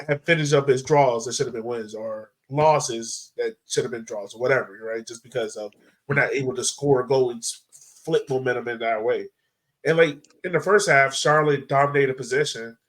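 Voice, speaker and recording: A man hosting a sports podcast, talking through a match in an informal, chatty, loosely phrased way. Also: medium pitch. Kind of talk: casual match analysis chat.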